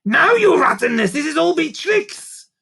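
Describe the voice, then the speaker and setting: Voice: high voice, very animated, measured pace, vocal fry, fairly bright tone, pitched above the performer's own voice, full character voice. A sly little creature henchman from a children's storybook, as a reader puts him on. He hisses and wheedles his warnings, his voice bouncing up and down. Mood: wheedling. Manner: hissing, wheedling.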